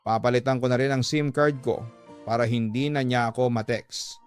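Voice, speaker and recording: A man's voice: mid-range voice, steady tone, moderate pace, smooth, faint music behind, natural voice. A man voicing a defensive, easily irritated woman in her thirties who placates her lover in a radio drama. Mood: nervous, placating.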